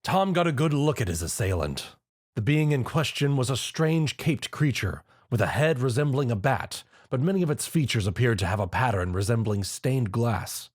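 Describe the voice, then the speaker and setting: A man's voice: medium pitch, expressive, normal speed, no echo, studio quality. A man reading scripted story narration.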